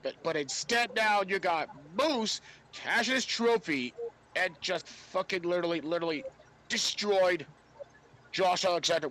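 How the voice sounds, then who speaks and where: A man with a high voice talks casually on a sports podcast panel. His delivery is halting, and he often repeats his words. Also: fairly bright tone, a little hiss or hum, low-quality recording.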